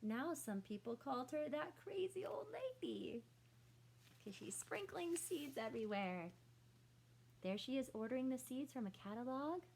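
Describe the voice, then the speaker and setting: A woman's voice: fairly high pitch, darkish tone, slightly rough, poor audio. A woman teacher reading a picture book aloud to children, adding explanatory asides and questions for young listeners.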